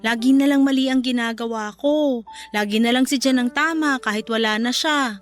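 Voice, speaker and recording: A woman's voice: fairly high pitch, moderate pace, faint music behind, close to an everyday voice. A woman playing an aggrieved, defensive live-in partner standing up for herself in a Filipino radio drama. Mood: hurt, pleading. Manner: pleading, defensive.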